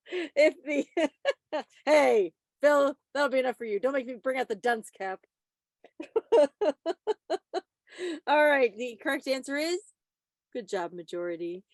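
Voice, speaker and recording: A woman's voice: sits high, lively intonation, slow pace, pauses often, no echo, studio quality. A woman presenting a quiz on a video call, playful and mock-stern like a schoolteacher addressing her class. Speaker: quiz presenter at a club meeting. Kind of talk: playful classroom-teacher patter to a group. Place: online video meeting from a separate room.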